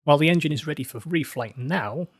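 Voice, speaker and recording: A man's voice: on the high side, fast talker, breathy edge, no room sound, studio quality. A man hosting a news update show, narrating from a script with an emphatic, dramatic storytelling delivery. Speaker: host of a space news show.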